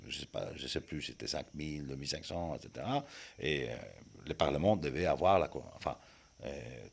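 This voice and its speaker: A man with a very deep, low voice speaks as an interview guest. He is not a native speaker and talks hesitantly, stalling on fillers and restarting his phrases as he searches for words. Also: vocal fry, darkish tone. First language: not French. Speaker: guest, communication expert. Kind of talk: conversational interview answers.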